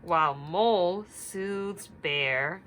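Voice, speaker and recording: Woman teacher doing a read aloud for little kids, takes it slow, asks questions, bit of background noise. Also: lowish voice, a bit bright. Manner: instructive, deliberate, addressing children directly. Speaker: teacher reading a picture book aloud to young children.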